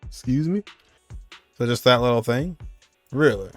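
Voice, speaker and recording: A man's voice: medium pitch, lively intonation, unhurried, soft background music. A man giving casual, offhand live reaction commentary as a gaming streamer, in muttered asides.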